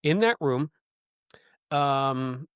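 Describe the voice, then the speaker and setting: A man's voice: mid-range voice, lively intonation, very slow, fairly bright tone, smooth, no echo, clean studio sound. A man co-hosting a podcast in casual chat, rambling and playful, laughing often. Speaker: podcast co-host.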